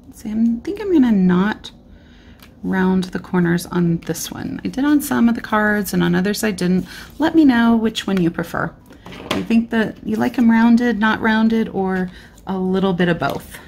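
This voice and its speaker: A woman's voice: medium pitch, unhurried, gravelly, darkish tone, a little hiss or hum. A woman hosting a card-making tutorial, chatting casually and thinking aloud as she works, slightly losing her voice. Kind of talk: casual crafting tutorial narration. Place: indoor craft desk. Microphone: overhead camera, hands only on craft mat.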